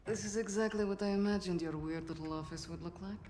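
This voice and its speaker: A woman's voice: mid-range voice, steady tone, fast, noisy background, lightly acted. An actress playing a hard, defiant young female Soviet sniper in a war game, terse in her speech. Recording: music under the voice. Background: music.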